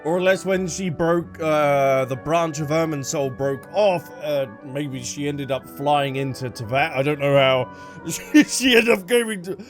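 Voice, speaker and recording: A woman's voice: deep voice, measured pace, soft background music. A woman giving casual, reactive playthrough commentary, thinking aloud in a tentative way. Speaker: game streamer.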